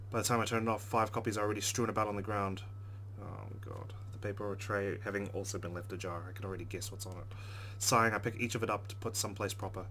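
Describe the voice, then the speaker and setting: A man narrating a visual novel aloud in a dramatic, expressive read-aloud style, with muttered asides. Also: fairly low pitch, a little hiss or hum. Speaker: let's play narrator.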